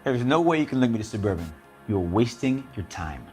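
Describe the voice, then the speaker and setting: Slangy repairman under interrogation, male actor; evasive, indignant, mid-pitched with lively swings, video game drama, faint music behind. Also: a little fast, close to an everyday voice. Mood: defiant. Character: evasive, defensive working-class repairman suspect, male.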